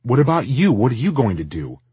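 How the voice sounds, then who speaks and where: Audiobook role: intense young man in love, played by a male narrator, raspy, earnest. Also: rumbling low, steady tone, normal speed, no echo, lo-fi sound, full character voice. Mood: heated, desperate. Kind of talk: audiobook character acting.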